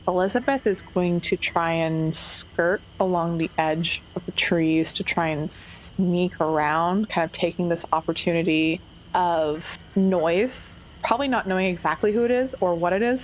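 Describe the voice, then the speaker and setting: A woman playing a tabletop roleplaying game, describing her character's actions in a measured, descriptive way. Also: on the low side, a little hiss or hum, low-quality recording. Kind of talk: narrating own character's actions.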